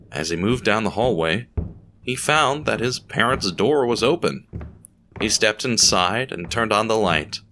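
A man reading scripted dramatic story narration in a measured, deliberate storytelling manner. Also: on the low side, sharp tone, slight background noise.

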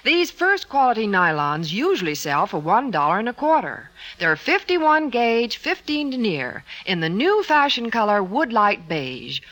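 An American woman reading a 1950s radio commercial as a polished sales pitch. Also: sing-song, measured pace, few pauses, fairly bright tone. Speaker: commercial announcer.

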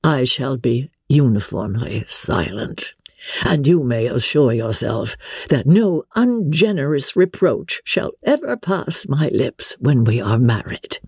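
A woman's voice: very deep voice, very animated, takes it slowly, gravelly, darkish tone, husky, no room sound, studio quality, heavily acted. A woman voicing a pompous, long-winded clergyman suitor in a formal, ponderous manner for a dramatic reading of a classic novel. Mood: solemnly effusive.

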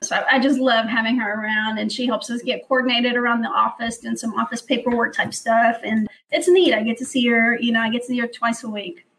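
A woman's voice: a little high, fairly even intonation, a bit bright, low-quality recording. A woman from Guatemala whose first language is Spanish, a company president speaking as a podcast guest in a friendly, agreeable way. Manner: friendly, agreeable, quick to affirm. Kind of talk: conversational interview.

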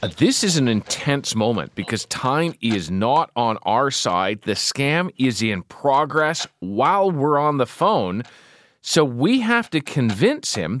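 A man's voice: medium pitch, fairly bright tone. A man speaking as an investigative journalist, telling a story in an informal, conversational way with emphatic delivery.

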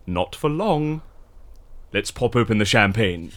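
A man playing an eccentric, witty and excitable fixer in an audio drama, with theatrical, wry delivery. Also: fairly low pitch, unhurried. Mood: wry, negotiating.

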